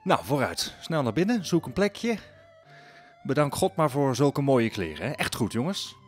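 A man's voice: mid-range voice, big pitch swings, moderate pace, faint music behind, close to an everyday voice. An actor playing a fussy, chatty village pastor in a historical audio drama, bustling and mildly patronising as he repeats himself. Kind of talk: Dutch audio drama acting.

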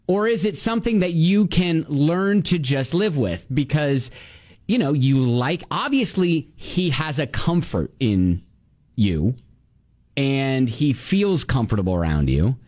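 A man co-hosting a radio talk show, animated, with stammering restarts and quick laughs, on a studio mic. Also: fairly high pitch. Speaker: radio co-host. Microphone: studio microphone.

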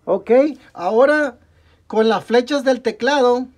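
A man's voice: very high voice, slow, a little mellow. Mexican man talking through a repair. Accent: Mexican Spanish. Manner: talks through each step while working, frequent "ok, amigos" and self-corrections. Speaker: tech tutorial host. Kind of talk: step-by-step repair tutorial. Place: indoor workbench at a desk.

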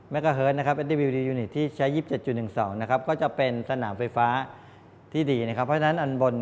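A middle-aged man lectures to a class in a mid-pitched voice. He explains step by step in a halting way, often adding polite tags. The room rings with long echo, and there is some background noise. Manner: halting, explanatory, with frequent polite tag particles. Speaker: college lecturer in medical electronics. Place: medium-sized indoor classroom with wooden desks. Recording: echoey classroom, distant pickup, background noise.

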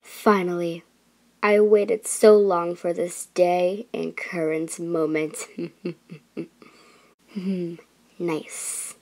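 A woman voicing a scheming, menacing lioness villain in an animated fan dub, speaking in a deliberate, sinister way. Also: lowish voice. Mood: gloating.

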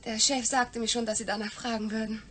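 Eine Schauspielerin spielt in einem deutschen Hörspiel eine höfliche, gefasste Krankenschwester mit förmlicher Art. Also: female voice, on the high side, level tone, brisk, fairly bright tone, slight background noise, lightly acted. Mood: composed. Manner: formal, composed. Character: polite, composed female hospital nurse. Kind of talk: German radio play acting.

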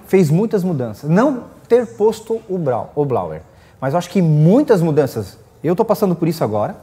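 Southern brazilian guy chatting on a racing panel, soft mellow voice, really animated. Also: a little high, a little fast. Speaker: guest drag racer. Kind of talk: casual panel chat. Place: small indoor studio set.

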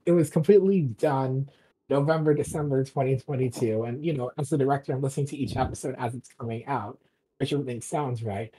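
A person with a husky voice chatting casually and emphatically as a drama director guesting on a podcast, gushing and rambling along. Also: medium pitch, mellow, poor audio. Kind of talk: casual enthusiastic chat.

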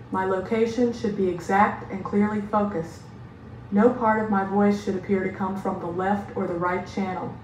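A man reading a stereo test announcement in a flat, measured way, heard as playback through speakers. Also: high-pitched, roomy sound, far from the mic, slight background noise, low-quality recording. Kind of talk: test track narration.

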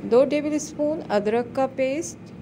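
A woman hosting a cooking channel, calmly talking through a recipe step by step in a voice-over. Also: high-pitched, unhurried, noisy background. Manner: calm step-by-step instructions.

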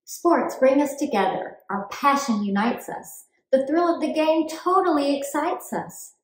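A woman reading a children's book aloud in an expressive, deliberate storytime style for young children. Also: high voice, reverberant, slight background noise, low-quality recording. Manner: clear, deliberate, animated delivery for young children.

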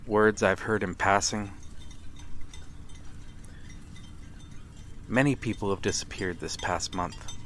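A man playing a courtly, brooding vampire stranger, menacing and seductive, speaking softly and deliberately in an ASMR roleplay. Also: fairly low pitch. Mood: sly, ominous. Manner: soft, deliberate, theatrical, with breathy whispers. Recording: steady ambient noise, harbor soundscape.

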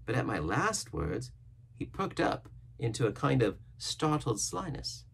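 A man's voice: medium pitch, big pitch swings, measured pace, vocal fry, a bit bright, breathy edge. A middle-aged man reading an adventure novel aloud with expression. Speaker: storybook reader.

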